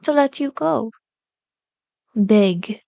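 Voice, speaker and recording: Slow, condescending vampire seductress, acted by a woman. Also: on the high side, lively intonation, creaky, mellow, no echo, exaggerated voice. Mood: taunting, commanding. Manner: slow, commanding, mock-sweet taunting with whispered words. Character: powerful female vampire, seductive, condescending, manipulative captor. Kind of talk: ASMR roleplay.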